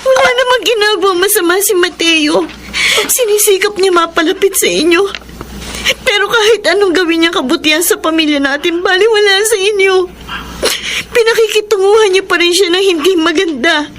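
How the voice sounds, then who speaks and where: An actress playing an earnest, aggrieved adult daughter, emotional and pleading, with a Filipino accent in radio drama style. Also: female voice, very high-pitched, moderate pace, fairly bright tone, slight background noise. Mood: tearful, anguished. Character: loving, aggrieved adult daughter standing up to mother.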